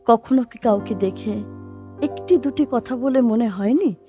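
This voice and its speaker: A woman acting a poetic, playful female stage performer who gently challenges, in lyrical, expressive audio-drama dialogue. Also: a little high, a little slow, dull audio, natural voice. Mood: wistful, lyrical. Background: instrumental background music.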